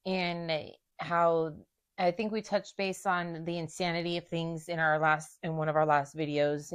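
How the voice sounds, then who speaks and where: A woman in recovery, a mother of a young child, talking candidly about her past and often stopping to search for words. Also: sits low. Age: adult with a young son. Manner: halting, searching for words, many restarts. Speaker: daughter in recovery. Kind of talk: candid personal conversation.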